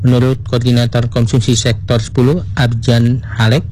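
A man's voice: mid-range voice, little pitch movement, moderate pace, darkish tone, a little hiss or hum, rough recording. A man with an Indonesian accent reading a scripted report as a radio news reporter.

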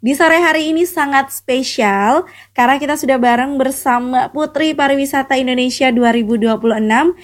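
A woman's voice: sits high, fairly quick. A woman radio presenter hosting an interview, friendly and chatty, sprinkling in English words.

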